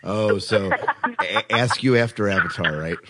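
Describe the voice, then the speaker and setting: A man with a very low voice hosts a podcast. He talks casually with his guests, in a conversational discussion style. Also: unhurried, heavy background noise. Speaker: podcast host.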